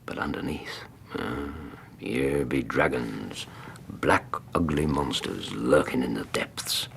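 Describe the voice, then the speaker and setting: A deep, gravelly English gentleman. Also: a little hiss or hum, heavily acted. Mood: reflective. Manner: measured, dry understatement. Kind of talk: radio drama acting and narration.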